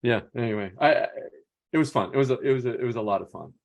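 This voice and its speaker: A man's voice: medium pitch, racing, gravelly, muffled recording. An American man telling anecdotes casually as a podcast co-host and coach.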